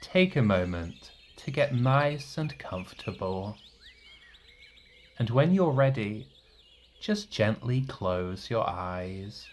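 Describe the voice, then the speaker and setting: A man with a fairly low voice guides a meditation at a very slow pace. He speaks gently and reassuringly in short, soothing instructions. Long pauses separate his phrases. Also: very animated, a little hiss or hum.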